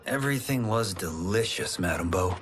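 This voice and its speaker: An actor playing a polite, earnest young fighter in a video game cutscene, mild and courteous. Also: male voice, low-pitched, expressive, normal speed, heavy background noise. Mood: friendly. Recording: loud music behind the voice.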